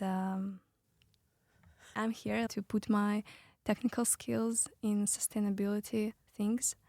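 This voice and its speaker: A woman's voice: medium pitch, creaky, darkish tone, no room sound, clean studio sound. A young woman with a Russian accent, talking as a student guest in a casual discussion. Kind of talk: casual round-table discussion.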